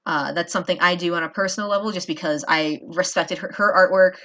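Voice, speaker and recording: Woman, tired, lowish voice, casual art tutorial, rambling with fillers, talking while drawing at home. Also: quick pace, pushed and bright. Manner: rambling, self-deprecating, many fillers.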